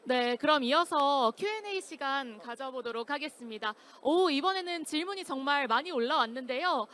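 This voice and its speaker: A woman's voice: high voice, expressive, normal speed, fairly bright tone. A woman hosting a conference session, with formal, very polite announcer phrasing. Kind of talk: formal, scripted-sounding event hosting. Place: conference hall stage, amplified talk.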